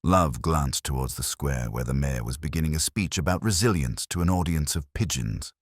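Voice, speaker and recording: Very deep male narrator. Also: animated, dry recording, studio quality. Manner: measured, literary, wry. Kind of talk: scripted mystery audiobook narration.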